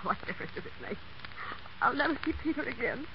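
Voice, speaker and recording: High-pitched proud young heiress, old radio. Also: female voice, expressive, fast talker. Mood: hurt, resigned. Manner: brittle, sarcastic, dramatic. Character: spoiled, proud young heiress, heartbroken and defiant. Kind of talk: 1930s American radio acting. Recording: muffled, noisy 1939 radio broadcast.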